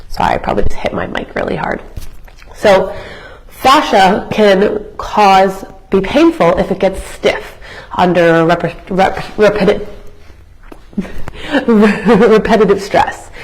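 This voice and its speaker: Woman, young adult, raspy, hoarse from illness, fitness instructor, chatty live class, educational, fillers and restarts. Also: mid-range voice, slow, rough recording. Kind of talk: live workout class, explanatory.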